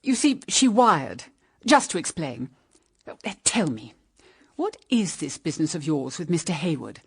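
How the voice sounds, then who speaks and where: A woman's voice: low voice, big pitch swings, unhurried, creaky, breathy edge, exaggerated voice. An actress with a British accent playing an evasive, anxious older mother-in-law in a period radio drama, hesitant and guarded.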